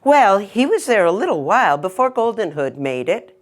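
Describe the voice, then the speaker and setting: A woman's voice: mid-range voice, very animated, normal speed. A woman telling a fairy tale to children in a dramatic style, with emphatic repetition. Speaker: storyteller.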